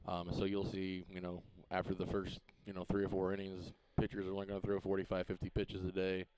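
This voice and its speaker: A man coaching high school baseball, giving a relaxed, matter-of-fact pregame interview in a ballfield press box, with lots of "uh" and "you know". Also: quick pace, background noise. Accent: Missouri.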